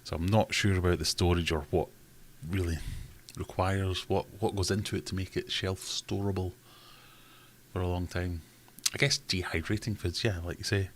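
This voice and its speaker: A man's voice: sits low, fairly even intonation, moderate pace, slight background noise. A man hosting a casual livestream from home, rambling and self-deprecating as he reads out chat comments. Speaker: livestream host.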